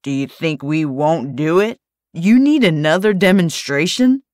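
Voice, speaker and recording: A woman's voice: deep voice, animated, measured pace, a little mellow, no echo, studio quality. A woman voicing a male gunman who mockingly threatens a hostage. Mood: menacing.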